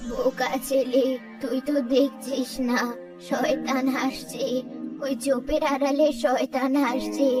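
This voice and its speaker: Woman as a slow, high-voiced mad old beggar woman. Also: little pitch movement. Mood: ominous. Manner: slow, rambling, repetitive warnings. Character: frail mad old beggar woman muttering ominous prophecies. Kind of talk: cartoon story drama. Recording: distant mic, loud background music.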